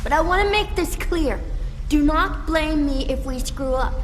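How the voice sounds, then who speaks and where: Woman playing a high-voiced, grumpy youngster. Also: moderate pace, lightly acted. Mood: reluctant, firm. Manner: exasperated, scolding. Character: grumpy, reluctant, bossy youngster. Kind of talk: video game cutscene voice acting. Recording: reverberant, faint noise.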